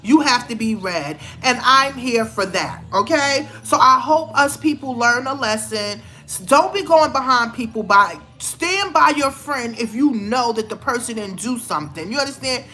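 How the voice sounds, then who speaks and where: A woman's voice: fairly high pitch, animated, normal speed, few pauses, pushed and bright, slight background noise. A woman giving blunt, scolding celebrity gossip commentary in a vlog, with emphatic outbursts. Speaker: vlogger.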